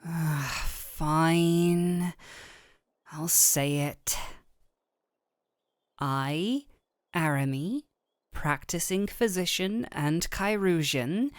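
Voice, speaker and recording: Unhurried, gentle fantasy doctor, played by a woman. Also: low voice, dry recording, clean studio sound, exaggerated voice. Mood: mock exasperated. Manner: soft, breathy near-whispers, affectionate teasing. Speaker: audio roleplay performer. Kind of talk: intimate fantasy audio roleplay acting.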